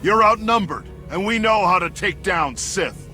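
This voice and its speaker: An actor playing a defiant male Republic soldier who shouts threats in a game cutscene. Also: sits high, lively intonation, measured pace, soft background music, full character voice.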